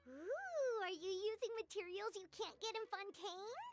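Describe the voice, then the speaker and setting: A woman voicing a tiny, childlike, curious female companion creature in a video game, with a squeaky, exclamatory delivery. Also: sing-song, unhurried, faint music behind, exaggerated voice. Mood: curious, chirpy. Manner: refers to self in third person, exclamatory. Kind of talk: video game character dialogue.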